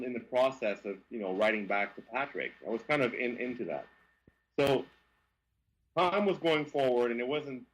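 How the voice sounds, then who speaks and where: A man from Quebec with a Quebec French accent, telling stories as an interview guest in a lively, stammering way. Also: mid-range voice, slightly rough, rough recording. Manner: animated, frequent stammering restarts. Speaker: guest, car reviewer. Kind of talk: casual interview storytelling.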